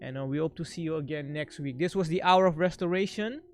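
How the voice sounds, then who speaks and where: A man hosting a show and signing off unscripted, halting as he searches for his words. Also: on the high side, soft background music. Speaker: show host.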